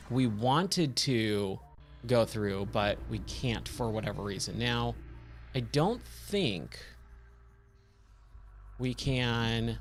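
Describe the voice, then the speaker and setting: A man with a slightly hoarse, scratchy voice giving casual, rambling game commentary and thinking aloud as he plays. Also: medium pitch. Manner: rambling, self-questioning. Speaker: game streamer, host of a let's play.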